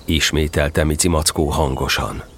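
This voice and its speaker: A man narrating a children's storybook tale in an audio drama, with expressive scripted delivery. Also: extremely low pitch, slight background noise. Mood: storytelling.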